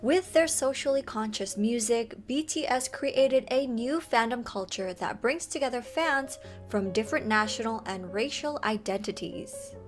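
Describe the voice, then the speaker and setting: A woman giving an even, informative scripted narration. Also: on the high side, a little slow, hardly any pauses. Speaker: documentary narrator. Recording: narration over loud background music.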